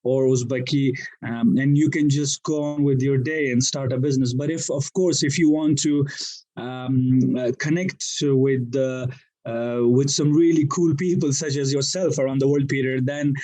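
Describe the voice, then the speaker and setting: Afghan man interviewee, business manager, halting speech full of "uh"s, mid-range voice. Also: mid-range voice, fairly even intonation, warm tone. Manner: hesitant, many fillers and restarts. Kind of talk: podcast interview.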